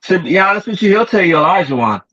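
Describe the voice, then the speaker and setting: A man with a fairly high voice talks basketball in a casual debate, hesitating and trailing off before pressing his point. His voice sounds dry and a little dull. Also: expressive, fast talker, fairly bright tone, no echo. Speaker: podcast co-host.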